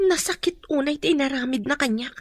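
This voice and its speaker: A woman's voice: high voice, a little fast, no echo, clean studio sound, close to an everyday voice. An actress playing a young female student with a hot temper in an Ilocano radio drama. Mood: indignant, hurt. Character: young female student, indignant and hurt, quick to confront.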